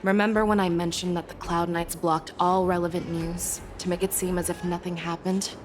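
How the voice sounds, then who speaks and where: An actress playing a shrewd, measured woman general who reasons through clues, in a game cutscene. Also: lowish voice, level tone, slight background noise, lightly acted. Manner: analytical, measured. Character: shrewd, composed woman military leader. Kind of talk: video game cutscene voice acting.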